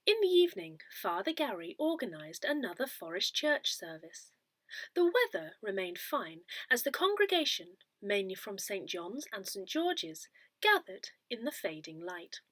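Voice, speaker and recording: A woman reading a newsletter aloud in a measured, careful way with expressive emphasis. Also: medium pitch, dry recording. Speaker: volunteer reader of an audio parish newsletter. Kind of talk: read-aloud newsletter narration.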